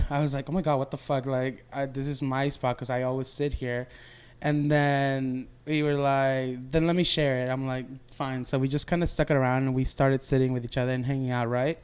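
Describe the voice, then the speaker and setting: A man's voice: mid-range voice, smooth. A man co-hosting a podcast, telling stories casually in a rambling, slangy way full of "like" and "you know". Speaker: podcast co-host. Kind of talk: casual chat, storytelling.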